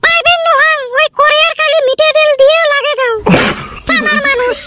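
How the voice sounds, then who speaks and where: Squeaky nagging village wife. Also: female voice, fairly even intonation, brisk, heavy background noise. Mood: exasperated nagging. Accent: northern Bengali (Rangpuri). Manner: scolding, complaining. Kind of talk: comic cartoon dialogue.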